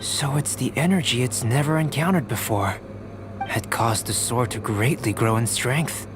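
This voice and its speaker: An actor playing a calm, gently aloof young male alchemist in a video game, speaking in a measured, analytical way. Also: mid-range voice, over music, natural voice. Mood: intrigued, analytical. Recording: faint background noise.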